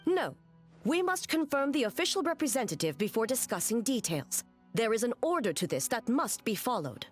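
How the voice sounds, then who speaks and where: A woman's voice: a little high, very animated, faint music behind. Stern, formal female ruler. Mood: firm. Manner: formal, measured, matter-of-fact authority. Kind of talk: animated video game dialogue.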